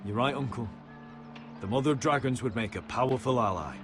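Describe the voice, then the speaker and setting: A man's voice: medium pitch, lightly acted. A man playing a brash, impatient young noble fighter in a fantasy video game, with a cavalier manner. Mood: agreeing. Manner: brisk, cavalier. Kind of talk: dramatic video game acting. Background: prominent music.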